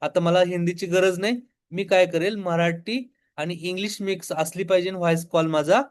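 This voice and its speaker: High-pitched Marathi-accented man teaching step by step. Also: fairly even intonation, normal speed. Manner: explanatory, poses questions then answers them. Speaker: online business tutorial instructor. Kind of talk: step-by-step screen demo tutorial.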